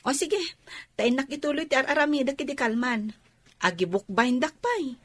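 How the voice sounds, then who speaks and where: A woman's voice: sits high, lively intonation, moderate pace, no room sound. A woman with a Filipino accent acting a teasing, outspoken young student worker in a radio drama. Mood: playful.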